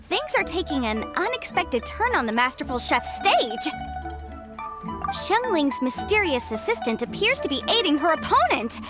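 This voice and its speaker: An actress playing a lively female contest host announcing in a game cutscene, with a showy manner. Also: high-pitched, moderate pace, over music. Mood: surprised.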